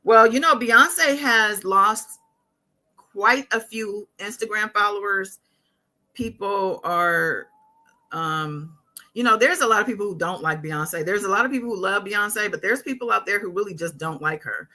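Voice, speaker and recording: A woman hosting a casual live stream, talking through viewer comments in a conversational, halting way as she thinks aloud. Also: medium pitch, sharp tone.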